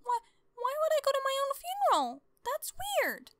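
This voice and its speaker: A woman voicing a frightened but defiant little girl pony in a storybook tale, squeaky and indignant. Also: huge pitch swings, moderate pace, background noise, heavily acted. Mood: nervous, puzzled.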